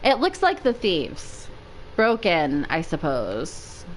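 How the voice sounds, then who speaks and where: A woman's voice: on the low side, wildly swooping pitch, slow, a little hiss or hum, exaggerated voice, poor audio. A woman voicing a mild, sleepy male bird teacher from a visual novel, speaking hesitantly. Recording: music playing behind the voice.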